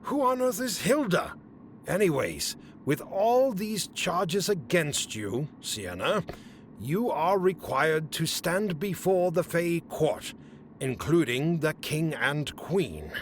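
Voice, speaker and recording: Guy acting a snooty fae bureaucrat, audio drama, highish voice, slow n formal, super exasperated. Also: sing-song, a little hiss or hum, heavily acted. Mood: officious. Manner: haughty, officious, formal. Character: pompous, exasperated male fae council official. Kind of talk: scripted fantasy comedy audio drama.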